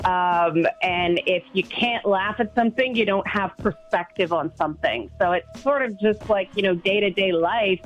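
A woman's voice: medium pitch, moderate pace, hardly any pauses, soft background music. A woman from Toronto, talking earnestly as a guest in a radio interview. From Toronto, Canada. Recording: dull phone line.

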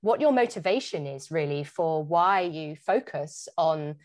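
A woman's voice: sits low, lively intonation, measured pace, darkish tone, a little hiss or hum. A woman hosting a conversational interview, attentive and a little tentative as she phrases her questions. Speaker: interviewer, podcast host.

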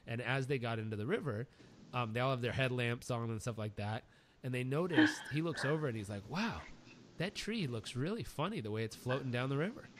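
A man's voice: mid-range voice, lively intonation, brisk, slight background noise. A man hosting a podcast, chatting casually and telling stories with enthusiasm, often repeating words as he starts a thought. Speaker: podcast host. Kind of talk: casual interview chat, storytelling.

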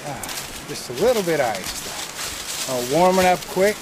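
Slow-talking middle-aged man hiking outdoors. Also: a little high, big pitch swings. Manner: slow, rambling commentary to the camera while walking. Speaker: hiking vlogger. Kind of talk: casual hiking vlog narration. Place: wooded trail outdoors by a stream. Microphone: handheld action camera at arm's length. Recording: noisy outdoor camera audio.

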